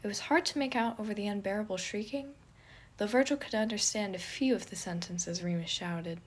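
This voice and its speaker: Woman narrating fan fiction, soft-edged husky voice, medium pitch, reading from a script. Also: a little fast.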